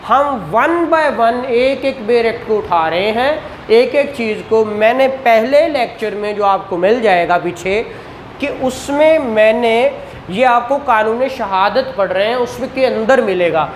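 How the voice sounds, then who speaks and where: A man's voice: extremely high pitch, unhurried. A man from Pakistan giving a law lecture, who asks questions and answers them himself and mixes in English legal terms. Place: room with a whiteboard. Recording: roomy echo with faint background noise.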